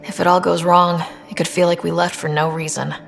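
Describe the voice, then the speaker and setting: An actress voicing a composed, wry space explorer and team leader in a video game, speaking casually. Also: female voice, on the low side, flat delivery, brisk, creaky, faint music behind. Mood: candid, wistful. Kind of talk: video game dialogue acting.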